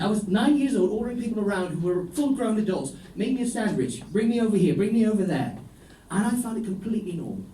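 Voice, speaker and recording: Wry high-voiced comedian, echoey club. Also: male voice, slight background noise. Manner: dry, ironic storytelling with deadpan asides. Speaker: stand-up comedian. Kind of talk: stand-up set. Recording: echoey room, voice from a distance.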